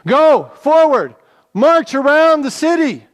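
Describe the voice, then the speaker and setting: A bold army leader acted by a man calls out across a long reverberant hall. The voice is raised high and projected, declaimed in a dramatic scripture style. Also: sing-song, slow pace, full character voice. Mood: rousing.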